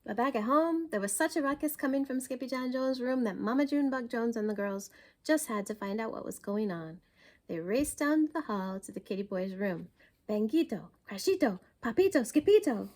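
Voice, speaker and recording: High-voiced woman reading a children's story. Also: animated, normal speed, darkish tone. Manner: dramatic, emphatic. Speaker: library story time reader. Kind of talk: expressive children's storybook narration.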